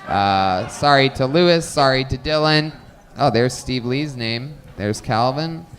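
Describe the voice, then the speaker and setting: A man's voice: medium pitch, animated, a little slow, a bit bright, faint music behind. A man hosting a live comedy show, teasing guests and laughing often.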